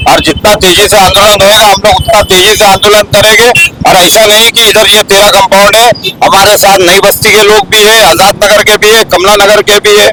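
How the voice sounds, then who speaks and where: A man's voice: high voice, little pitch movement, normal speed, heavy background noise. A man speaking as a local activist, firm as he states his group's demands. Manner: firm, listing supporters. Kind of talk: street interview answers. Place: street outdoors. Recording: loud crowd outdoors.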